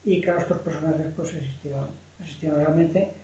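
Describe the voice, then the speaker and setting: Spanish novelist, roomy dull recording. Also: male voice, fairly high pitch, steady tone, a little fast, warm tone. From Spain. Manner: rambling, frequent restarts and repeated words. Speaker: novelist guest. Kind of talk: casual conversation on history. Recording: dull lo-fi sound in an echoey room.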